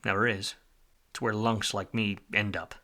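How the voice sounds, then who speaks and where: An actor playing a world-weary, wisecracking private detective in a film noir radio play, wry and slangy. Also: male voice, lowish voice, level tone, moderate pace, pushed and bright. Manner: hard-boiled, wry, slangy.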